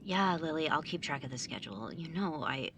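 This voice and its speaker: A woman playing a bookish, high-strung young mage student in an audio drama, sarcastic and emphatic in a naturalistic way. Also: lively intonation, brisk, background noise. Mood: awkward, hushed.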